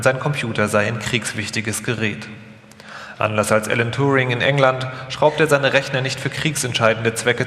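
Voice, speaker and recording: A man's voice: mid-range voice, fairly bright tone. A man reading scripted narration as the narrator of a live documentary radio feature. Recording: echoey hall, live stage performance.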